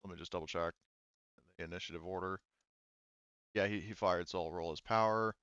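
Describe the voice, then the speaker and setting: A man acting as game master in a tabletop roleplaying game, improvising narration, thinking aloud haltingly and swearing casually. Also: low-pitched, steady tone, fairly bright tone, smooth, no echo, muffled recording.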